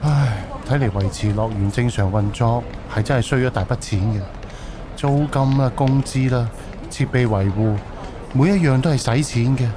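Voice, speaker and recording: A man's voice: medium pitch, normal speed, background noise, natural voice. A man playing a polite, earnest young haunted-house owner in a Cantonese audio drama, speaking conversationally. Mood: musing.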